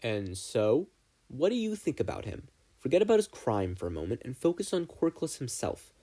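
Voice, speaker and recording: A man's voice: mid-range voice, very animated, moderate pace, warm tone. A man voicing a wry male teacher in an audiobook, probing a student with questions.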